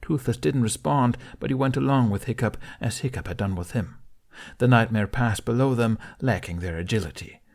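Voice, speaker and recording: A man's voice: medium pitch, moderate pace, creaky, a little mellow. A man narrating a fantasy adventure audiobook in a dramatic, scripted storytelling style.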